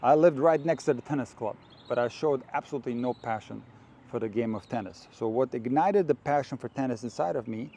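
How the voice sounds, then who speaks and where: A man from Croatia who coaches tennis, talking to camera in an earnest, direct and measured way, outdoors. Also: medium pitch, warm tone, slight background noise.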